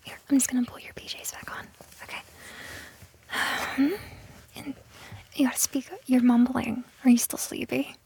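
Woman playing a breathy, whispering, flustered young lover. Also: high-pitched, flat delivery, heavily acted. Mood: awkward, hushed.

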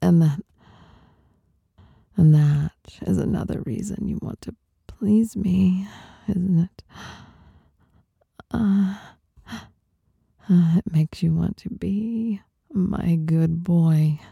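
Whispering, seductive woman, very slow and husky. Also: on the low side, dry recording, studio quality. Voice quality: breathy, whispered. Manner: seductive, teasing, softly dominant. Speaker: performer of adult audio recordings. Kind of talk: intimate adult ASMR monologue. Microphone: close microphone.

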